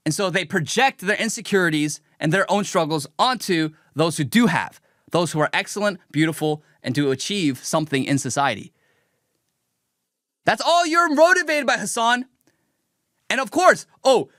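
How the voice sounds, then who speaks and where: Combative high-pitched man ranting. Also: a bit bright, no room sound, clean studio sound. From raised in the United States. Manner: combative, mocking, emphatic. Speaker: political commentator and streamer. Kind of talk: livestream reaction rant.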